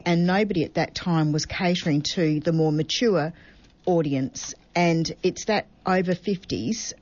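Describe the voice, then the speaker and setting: Woman, middle-aged, lowish voice, fluent casual explainer, dry muffled studio sound. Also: a little mellow. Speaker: singer in a vocal duo. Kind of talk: casual radio chat.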